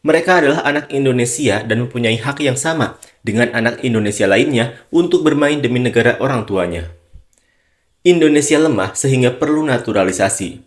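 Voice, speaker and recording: A man narrates a sports news segment in an even, read-aloud manner. His voice sits at a middle pitch, neither high nor low. The delivery stays steady from line to line. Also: fairly quick. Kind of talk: scripted narration reading news and online comments.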